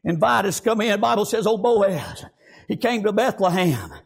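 A man preaching a revival sermon, fervent and often shouting, with constant "praise God" and "amen". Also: high-pitched, lively intonation, normal speed, gravelly, husky.

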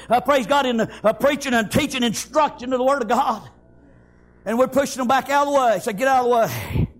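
High-pitched, fervent Southern man preaching. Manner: fervent, shouting, exclaiming praise; repetitive stop-and-go phrasing with frequent interjections. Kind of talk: impassioned revivalist sermon.

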